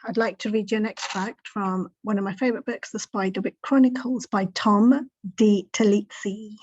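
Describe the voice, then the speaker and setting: A woman reading a children's book aloud in an expressive storytelling style, on a slightly dull recording. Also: medium pitch, moderate pace, breathy edge.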